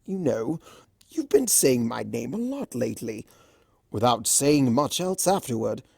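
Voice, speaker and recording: A narrator voicing a flamboyant, teasing male chimera trickster in a fan storybook reading, smug and theatrical with drawn-out emphasis. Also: medium pitch, sing-song, vocal fry, warm tone, slightly rough, no room sound, clean studio sound, heavily acted.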